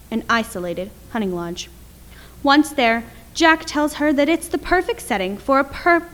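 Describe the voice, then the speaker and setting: A woman's voice: a little high, a bit bright. A woman playing the narrator of a suspense radio play, delivering scripted narration.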